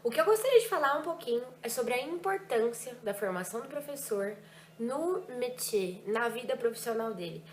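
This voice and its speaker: Lively, emphatic young woman teacher. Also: a little high, moderate pace. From Brazil, Ribeirão Preto. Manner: lively, emphatic, drops French words into Portuguese. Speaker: French teacher. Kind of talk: personal reflection vlog. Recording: echoey room with faint hiss.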